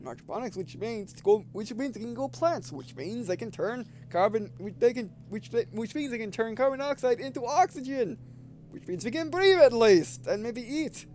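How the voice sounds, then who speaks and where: A young man with a distinctly high voice comes through over clear noise and hum. He talks like a casual game streamer, halting and doubling back to repeat his phrases. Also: expressive, a little mellow. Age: 20s. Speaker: gaming video host. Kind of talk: casual let's play commentary, reading game text aloud.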